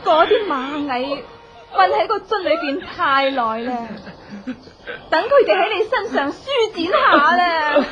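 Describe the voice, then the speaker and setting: High-voiced vengeful widow. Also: female voice, big pitch swings, slow, highs cut off, over a noisy crowd, full character voice. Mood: menacing, taunting. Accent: Hong Kong Cantonese. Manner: theatrical, taunting delivery. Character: vengeful widow, cruel and gloating, torturing her husband's killer. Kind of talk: Cantonese radio horror drama acting.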